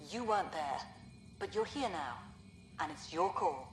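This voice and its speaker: Dry skeptical colony boss, kinda low, roomy echo. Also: female voice, animated, a little slow, soft background music. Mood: wry. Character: stern pragmatic woman colony director. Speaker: director of colony.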